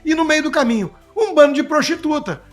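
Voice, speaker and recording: A Brazilian man with a very high-pitched voice delivers political commentary off the cuff. He is emphatic, colloquial and sarcastic, and music plays behind him. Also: brisk.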